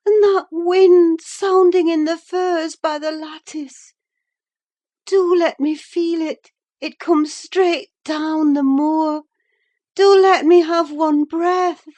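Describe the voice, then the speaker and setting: A woman reading an audiobook, voicing a delirious, frightened young woman in a high, breathless, anxious voice. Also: steady tone, takes it slowly, dark tone. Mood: pleading. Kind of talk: audiobook character voice.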